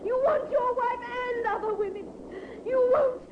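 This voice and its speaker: A very high-pitched young mistress, clinging and easily hurt. She pleads with open emotion in old radio melodrama style, on a muffled recording with clear noise. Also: unhurried. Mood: tearful, bitter. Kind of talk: 1950s radio drama acting.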